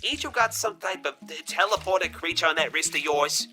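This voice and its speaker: Man voicing a sharp-toned grumbling cartoon messenger. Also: on the high side, animated, fairly quick, soft background music. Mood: griping. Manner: colloquial, blunt, griping. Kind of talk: animated sci-fi audio drama dialogue.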